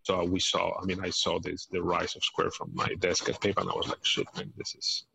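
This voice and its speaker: A man from Mexico City, answering interview questions conversationally, often halting and correcting himself. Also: sits low, monotone, gravelly, pushed and bright, low-quality recording. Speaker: podcast guest, company founder.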